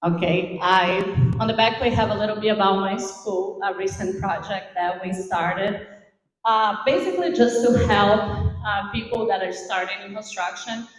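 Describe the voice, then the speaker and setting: A middle-aged woman from Brazil with a Brazilian accent, giving an informal, animated motivational talk on stage in a large room. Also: mid-range voice, moderate pace. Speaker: invited event speaker. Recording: echoey hall.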